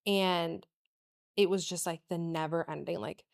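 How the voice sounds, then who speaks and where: A young woman hosting an interview, chatty and quick, with lots of fillers and laughs. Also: fairly low pitch, steady tone, creaky. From US, Cuban parents. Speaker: host. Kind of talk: casual interview chat.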